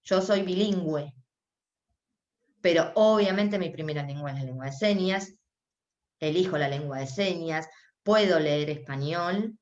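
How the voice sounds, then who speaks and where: A woman with an Argentine accent gives live spoken interpretation for a deaf panelist at a discussion. She speaks haltingly, often pausing and restarting. The recording sounds roomy and muffled, like lo-fi audio from an online talk. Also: low voice. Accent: Argentine Spanish. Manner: halting, with frequent restarts and pauses while interpreting. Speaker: sign language interpreter voicing a deaf panelist.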